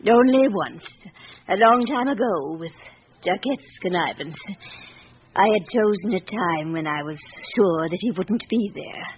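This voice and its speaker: An actress playing a dignified, frank elderly princess in a radio drama, speaking in a poised, formal and deliberate manner. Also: female voice, medium pitch, lively intonation, lightly acted. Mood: gracious, frank. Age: older. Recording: dull, noisy old radio broadcast recording.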